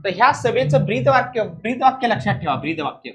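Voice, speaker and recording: A man's voice: high-pitched, very animated, fast talker, fairly bright tone. A man teaching an exam coaching lesson, explaining emphatically, repeating key points and often checking understanding with rhetorical questions.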